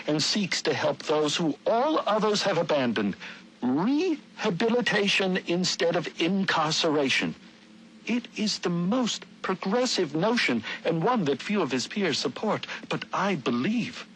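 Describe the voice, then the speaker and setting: A man's voice: on the high side, very animated, unhurried, a bit bright, slight background noise, low-quality recording. An actor playing an idealistic, haunted nineteenth-century architect confiding in his journal with formal, earnest, old-fashioned diction in a game audio log. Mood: earnest, hopeful. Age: 30s. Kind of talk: dramatic audio journal narration.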